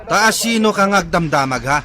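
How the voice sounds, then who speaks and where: A man's voice: sits high, expressive, moderate pace. A man playing a hot-tempered, swaggering young muscleman who barks threats in a comedic radio drama. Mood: belligerent. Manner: belligerent, shouting threats.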